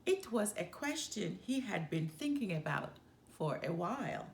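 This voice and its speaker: A woman reading a children's picture book aloud in an expressive, measured storytelling manner. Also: mid-range voice, gravelly. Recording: echoey room with faint background noise.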